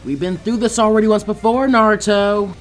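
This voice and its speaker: A man's voice: high-pitched, steady tone, brisk, pitched above the performer's own voice, natural voice. A man voicing a confident, teasing teenage girl ninja and weapons expert in an anime fan fiction audiobook. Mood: smug, taunting.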